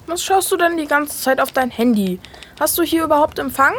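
A small boy acted by a woman in a radio play, whining and full of doubts. The voice is very high and lively, with faint noise around it. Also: fairly quick. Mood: sceptical. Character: whiny, hungry, sceptical little brother. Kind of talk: German audio drama acting.

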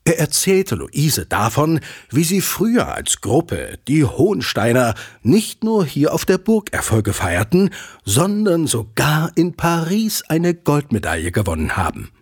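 A man's voice: a little high, very animated, measured pace, slightly rough. A man narrating a scripted children's audio drama as a storyteller. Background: music.

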